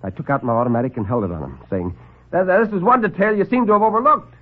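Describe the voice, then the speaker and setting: Brash lieutenant for an old radio serial, husky and wildly swinging in pitch, on a tinny broadcast line. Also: male voice, rapid-fire. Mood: defiant. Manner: wisecracking, defiant, excitable. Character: brash, tough-talking male lieutenant narrator. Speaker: narrator and lead character, a lieutenant. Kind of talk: 1940s radio drama acting.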